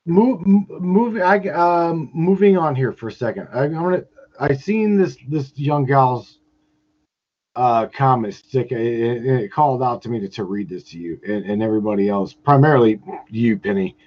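Male host, stammers and repeats himself, mid pitch, muffled lo-fi audio. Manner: halting, stammering, with many repeated words. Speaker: podcast host. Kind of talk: casual podcast conversation.

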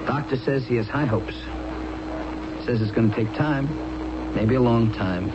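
An actor in a 1970s radio drama playing a troubled, subdued husband with a plain, resigned, hesitant way of talking. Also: male voice, medium pitch, a little slow, lightly acted. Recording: dull, noisy old radio recording.

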